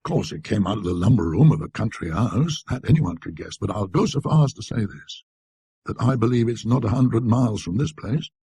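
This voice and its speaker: A man voicing a rattled, talkative antique dealer who blusters and justifies himself in colloquial rambles, in a husky voice. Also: lowish voice, expressive, a little fast, mellow, no room sound, heavily acted. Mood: confiding, earnest.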